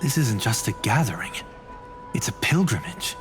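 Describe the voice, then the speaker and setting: A man's voice: mid-range voice, big pitch swings, moderate pace, natural voice. A man voicing an earnest, courteous adventurer in a video game, speaking in a formal, grave manner. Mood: earnest, intense. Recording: loud music under the voice.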